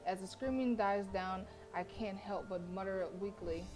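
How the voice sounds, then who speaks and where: A woman game streamer reading story narration aloud in an expressive, dramatic way, with casual delivery between passages. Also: medium pitch, normal speed. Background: game music.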